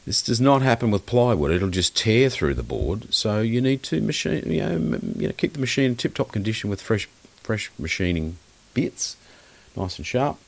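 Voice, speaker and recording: A man's voice: fairly low pitch, sing-song, moderate pace, gravelly. A man talking casually as an expert guest in a podcast interview, opinionated and blunt, often rambling as he explains things. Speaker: guest loudspeaker designer and maker.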